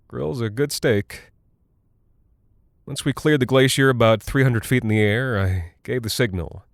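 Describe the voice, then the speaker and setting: An actor playing a weary, rattled Cold War pilot in a horror audio drama, halting and apologetic as he rambles confidingly to his wife. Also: on the low side, dry recording, clean studio sound, lightly acted. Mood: weary, matter-of-fact.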